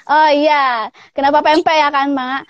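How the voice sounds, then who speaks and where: High-voiced woman on a dull video call. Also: flat delivery, unhurried, dry recording. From Palembang, Indonesia. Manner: chatty, frequent laughs, tag questions. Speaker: pempek business owner, guest. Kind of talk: casual interview over a call.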